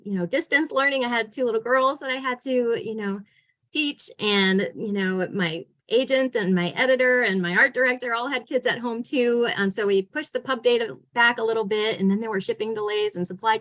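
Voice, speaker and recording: A woman's voice: a little high, fairly bright tone. A woman who writes children's books, answering questions casually in a chatty, emphatic way. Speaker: children's author and illustrator.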